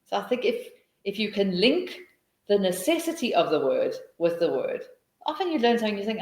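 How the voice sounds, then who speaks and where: Rambling South African woman in an echoey room. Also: mid-range voice. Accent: South African English. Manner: rambling, thinking aloud with many restarts. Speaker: teacher trainer. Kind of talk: webinar talk answering questions.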